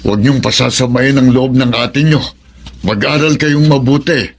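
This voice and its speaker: An actor as a kindly middle-aged Filipino father. His voice is gravelly and medium in pitch, fatherly and gently admonishing in radio drama style. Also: normal speed, slight background noise, close to an everyday voice. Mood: fatherly, tender. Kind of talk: Tagalog radio drama acting.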